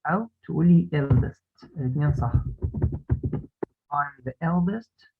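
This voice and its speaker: A woman with an Egyptian Arabic accent tutoring English one-on-one, repeating phrases slowly for drills. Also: deep voice, gravelly, background noise. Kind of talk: one-on-one lesson. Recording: tinny call audio.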